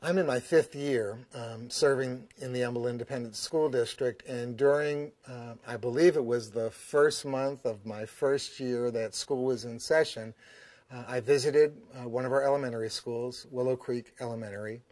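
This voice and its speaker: A man with a mid-range voice recalls his work with the school district in an interview. He pauses often and fills the gaps with "uh" and "um.". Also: medium pitch, fairly even intonation, darkish tone. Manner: hesitant, with many fillers. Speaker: school district staff member. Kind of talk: documentary interview.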